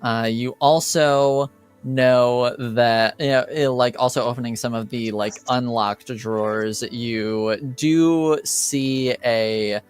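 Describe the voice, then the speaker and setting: A man runs a tabletop roleplaying game as game master. He speaks slowly and conversationally in a smooth voice, feeling his way through the narration. Faint music plays underneath. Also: mid-range voice, fairly even intonation.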